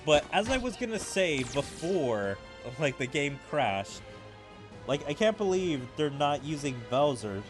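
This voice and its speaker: Male gaming streamer, casual commentary, higher-pitched and very animated, music behind. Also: measured pace.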